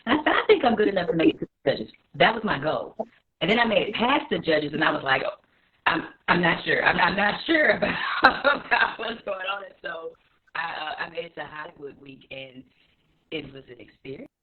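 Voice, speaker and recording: An American woman with a husky voice, telling her story conversationally as an interview guest. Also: fairly low pitch, sing-song, fairly quick. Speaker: guest actress and singer. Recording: tinny phone-like call audio.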